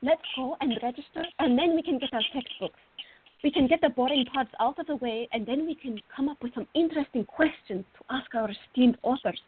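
A woman playing a fiery, excitable teenage art student in a radio drama, with a dramatic way of talking. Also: sits high, brisk, slight background noise, natural voice. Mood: eager. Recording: dry, thin phone-like band.

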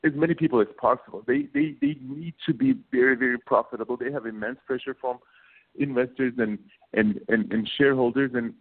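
A man with a Turkish accent, in his late thirties, answers interview questions in a halting way, stacking up fillers and restarting his phrases. His voice sits at a middle pitch. The sound is squeezed and thin, as if it came through a phone line. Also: big pitch swings, creaky. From Turkey. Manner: halting, many fillers and repeated words. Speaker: guest, business co-founder. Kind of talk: casual interview talk. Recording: phone-like narrow band.

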